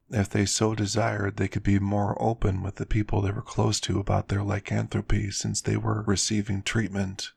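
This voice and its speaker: A man's voice: fairly low pitch, vocal fry, a little mellow, breathy edge, no room sound. A man narrating a scripted story in an ASMR style.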